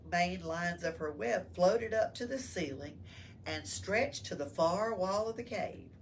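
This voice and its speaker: A woman's voice: fairly low pitch, gravelly, recorded from a distance. A woman reading a story aloud to children as a library storyteller, explaining it in simple words. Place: library room. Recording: faint background noise.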